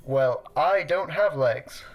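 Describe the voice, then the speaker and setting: A fussy male machine mind living inside a toaster for a sci-fi radio comedy. The voice sits a little high, and it complains in a dry, pedantic, long-suffering way. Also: takes it slowly. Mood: exasperated. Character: fussy, put-upon male computer mind trapped in a toaster.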